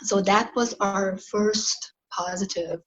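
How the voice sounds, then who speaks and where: A woman from Iran, a nurse, being interviewed over a video call and answering slowly and thoughtfully, with pauses and fillers. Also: mid-range voice, steady tone, muffled recording.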